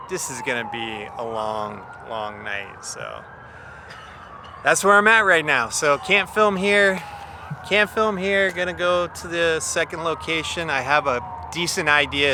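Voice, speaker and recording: A man's voice: a little high, noisy background. A man giving casual, off-the-cuff vlog updates to camera while outdoors at night. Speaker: video creator in a filming challenge. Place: outdoors at night near a road.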